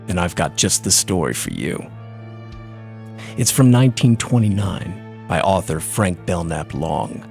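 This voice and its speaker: Man playing a slow, deep horror host. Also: gravelly, a little mellow, full character voice. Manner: theatrical, ominous, deliberate pauses. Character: sly, spooky keeper of a mysterious tomb. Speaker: host of a horror radio series. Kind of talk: old-time horror anthology radio hosting. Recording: music behind the voice.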